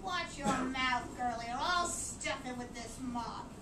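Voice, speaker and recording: Woman playing a spiteful high-pitched old charwoman. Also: a little slow. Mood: menacing. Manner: menacing, droning, theatrical. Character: spiteful crumpled old charwoman, cackling blackmailer. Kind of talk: live dramatic story reading. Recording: noisy, echoey room from far off.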